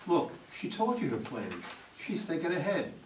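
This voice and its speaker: A man's voice: fairly high pitch, big pitch swings, moderate pace. A man playing a blunt, pragmatic political campaign manager in a play reading, emphatic and urging. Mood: persuasive. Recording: echoey room, distant, noisy.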